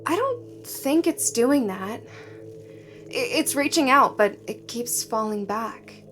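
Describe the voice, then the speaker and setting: Casting: actress for inquisitive, unsure female explorer in an audio drama, fairly high voice, natural delivery, faint music underneath. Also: expressive, slow, a bit bright. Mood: wondering, uneasy. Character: curious, hesitant woman exploring a strange quarantined place.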